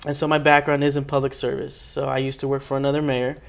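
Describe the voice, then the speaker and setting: A man's voice: medium pitch, monotone, quick pace, vocal fry. A young man from Elizabeth, New Jersey, born in Ecuador, answering agreeably as an interview guest and often repeating phrases. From born in Ecuador, raised in Elizabeth, New Jersey. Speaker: interview guest, public servant at a training center.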